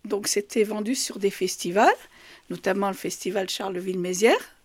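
A woman's voice: mid-range voice, normal speed. A woman from France explaining her craft as an interview guest, hesitating and repeating words as she goes. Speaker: puppet maker and artist, interview guest. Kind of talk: casual interview.

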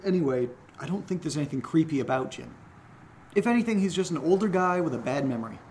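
A man's voice: fairly high pitch, animated, quick pace, darkish tone. Dry young guy, faint background noise. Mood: casual. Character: trusting, wounded, dryly teasing young man. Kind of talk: audio drama dialogue.

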